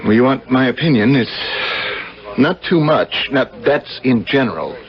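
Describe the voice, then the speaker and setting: An actor playing a sensible, affectionate brother with an earnest bantering manner in a 1970s radio drama. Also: male voice, mid-range voice, lively intonation, measured pace. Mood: earnest, concerned. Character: frank, affectionate brother, a sensible brain specialist. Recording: muffled old radio broadcast.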